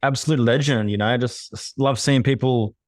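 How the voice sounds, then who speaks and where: A man's voice: mid-range voice, steady tone, fairly quick, no echo, studio quality. A man co-hosting a podcast, talking with enthusiasm in a motivational way and swearing casually. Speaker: podcast co-host.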